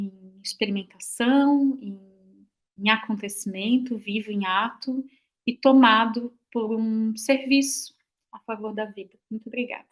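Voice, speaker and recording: A woman's voice: on the high side, fairly bright tone. A woman giving reflective closing remarks as a presenter on a video call.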